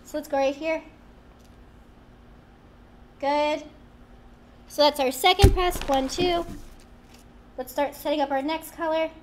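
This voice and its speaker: Chatty young woman with a high girlish voice. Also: fairly even intonation, slow, pauses often, slight background noise. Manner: chatty, playful, self-teasing. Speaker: craft demo host. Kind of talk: live-streamed product demo. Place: small studio with branded backdrop, indoors.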